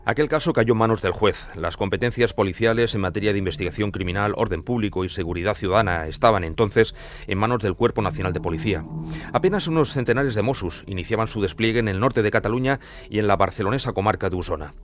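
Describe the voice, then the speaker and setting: Low-voiced man, documentary podcast narrator. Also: fast.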